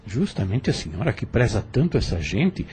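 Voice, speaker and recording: Man playing a husky, insinuating plantation overseer. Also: fairly low pitch, big pitch swings, a little mellow, heavily acted. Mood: slyly menacing. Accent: Brazilian. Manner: measured, insinuating, mock-courteous. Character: sly, insinuating male plantation overseer, falsely deferential. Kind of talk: audio drama acting.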